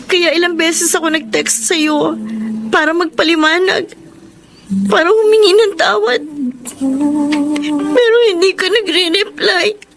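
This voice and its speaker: High-voiced, heartbroken young Filipina. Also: female voice, steady tone, unhurried, creaky, pauses often, music in the background, exaggerated voice. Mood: tearful pleading. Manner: emotional, earnest, pleading. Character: heartbroken, remorseful young woman pleading for her ex-boyfriend. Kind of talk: Filipino radio drama acting with letter narration.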